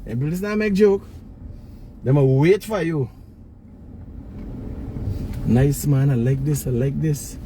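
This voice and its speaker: A man's voice: a little high, slow, long pauses, warm tone. A man from Guyana with a Guyanese accent, giving casual, opinionated live commentary in colloquial speech and repeating phrases for emphasis. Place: in a moving car on the road. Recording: noisy in-car recording with road sounds.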